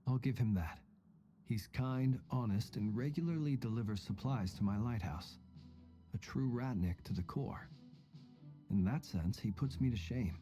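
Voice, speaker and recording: A man playing a wry, secretive, self-deprecating lighthouse warrior in a video game, speaking in a measured way. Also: mid-range voice, warm tone, faint music behind, natural voice.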